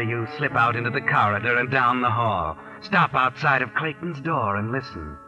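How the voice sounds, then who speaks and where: An actor playing a knowing, taunting narrator in a 1940s radio mystery, speaking with deliberate suspense. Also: male voice, mid-range voice, lightly acted. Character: ominous, knowing male narrator addressing a murderer. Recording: vintage 1940s radio recording.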